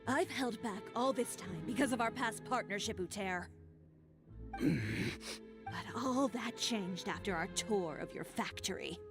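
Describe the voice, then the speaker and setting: Woman voicing a poised fashion designer, calm measured delivery, mid pitch, game music behind. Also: close to an everyday voice. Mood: stern. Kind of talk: game cutscene voice acting.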